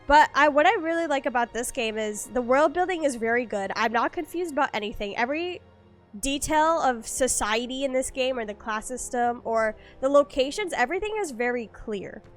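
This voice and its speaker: Woman streamer rambling to chat, kinda high voice, a bit stuffy, soft game music behind. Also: expressive, normal speed. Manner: rambling, lots of fillers and restarts, chatty with viewers. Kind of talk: casual gameplay stream chat. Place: at home.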